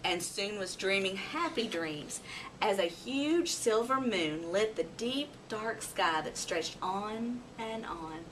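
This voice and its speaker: A woman narrating a children's storybook in an expressive, dramatic way. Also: medium pitch, slow pace. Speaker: storybook reader. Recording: distant mic, faint background noise.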